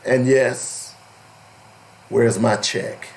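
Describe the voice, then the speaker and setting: A man hosting an opinionated radio talk show, deliberate and emphatic, with a strained, cracking voice that is giving out. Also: medium pitch, steady tone, creaky, slight background noise. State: losing his voice. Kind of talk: opinionated radio talk, partly reading aloud.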